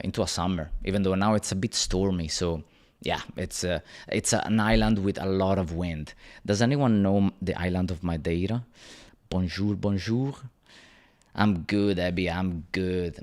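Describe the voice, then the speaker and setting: Low-voiced man chatting on a livestream. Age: 30s. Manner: chatty, greets viewers by name, frequent fillers and restarts. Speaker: YouTube channel host. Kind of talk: casual livestream chat with viewers. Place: rented apartment.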